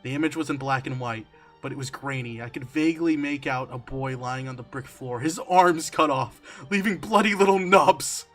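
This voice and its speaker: A man reading a horror story aloud on a stream, expressive and emphatic, slipping between dramatic narration and casual asides. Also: mid-range voice, normal speed. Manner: expressive, emphatic, swearing, breaking into amused asides. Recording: music under the voice. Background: background music.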